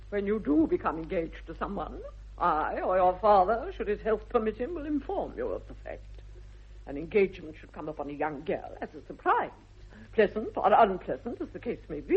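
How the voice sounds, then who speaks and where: Casting: snobbish, middle-aged English grande dame, upper-class accent, declamatory, husky voice, vintage radio comedy. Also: female voice, mid-range voice. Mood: haughty, indignant. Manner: haughty, pompous, declamatory. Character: imperious, snobbish aristocratic mother interrogating a suitor. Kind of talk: 1960s BBC radio comedy acting. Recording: dull old radio recording.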